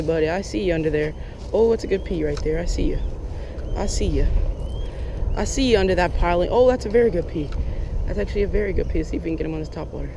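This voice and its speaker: A young man giving casual, excitable running commentary while fishing from a lake bank, recorded on a head-mounted action camera. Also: sits high, noisy background. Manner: chatty, excitable, lots of "like" and "oh my gosh". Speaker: fishing vlogger. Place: outdoors on a lake bank in Florida.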